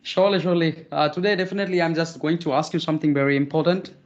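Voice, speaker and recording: A man's voice: on the high side, fairly even intonation, rapid-fire, lo-fi sound. Polite Indian man, many fillers. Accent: Indian English. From Odisha, India. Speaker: interview guest, English learner. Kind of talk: casual conversational interview.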